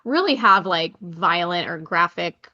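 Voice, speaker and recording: A woman's voice: lowish voice, expressive, unhurried, bright, forceful tone, dull audio. A woman hosting a casual interview podcast, speaking in an enthusiastic, affirming way. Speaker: podcast host interviewing a guest.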